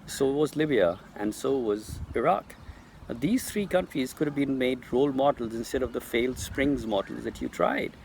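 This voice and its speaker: A man's voice: medium pitch, normal speed, creaky, darkish tone, a little hiss or hum. A man from Pakistan with a Pakistani English accent, speaking straight to camera in an earnest, insistent monologue. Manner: earnest, insistent, addresses Americans directly. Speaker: political commentator.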